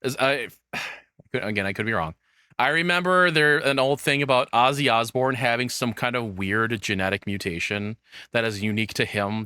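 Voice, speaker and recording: A man's voice: fairly low pitch, pushed and bright, dry recording, clean studio sound. A man giving casual, unscripted reaction commentary as a video host, blunt and profane, exclaiming when worked up.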